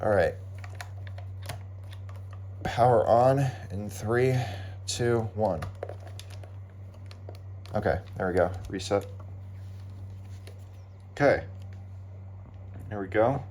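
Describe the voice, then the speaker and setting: Slow, low-voiced man unboxing gadgets. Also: a little mellow. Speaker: hobbyist unboxing weather radios. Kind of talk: casual unscripted unboxing commentary. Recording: clear background noise, handling clicks.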